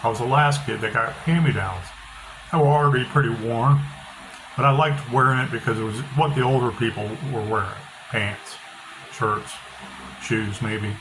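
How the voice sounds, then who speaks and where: A middle-aged author talking casually with a loud, bass-heavy voice, blunt and opinionated, often repeating himself. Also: male voice, normal speed. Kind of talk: casual monologue. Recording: noisy background hiss.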